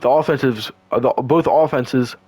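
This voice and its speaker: Hoarse young man calling a game. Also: medium pitch, normal speed, dry recording. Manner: excitable, slangy, stumbles and restarts. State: hoarse, losing his voice. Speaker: play-by-play commentator. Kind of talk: casual video game play-by-play.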